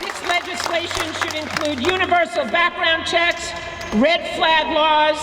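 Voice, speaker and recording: A woman's voice: very high voice, fairly even intonation. A middle-aged woman giving a prepared, emphatic speech at an outdoor rally. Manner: measured, earnest, emphatic. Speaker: rally speaker. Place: outdoor rally in front of a courthouse. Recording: echoey public address outdoors, crowd noise.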